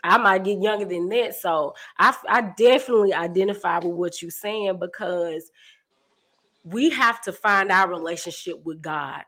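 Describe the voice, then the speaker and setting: A woman in her thirties hosting a casual discussion, chatty and animated. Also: lowish voice, moderate pace. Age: 30s. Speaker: podcast host.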